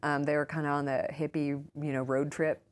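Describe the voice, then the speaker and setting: Very low-voiced American woman, casual. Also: little pitch movement, a little fast. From United States. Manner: informal, peppered with "like" and "you know". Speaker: guest author and urban farmer. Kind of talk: casual interview conversation.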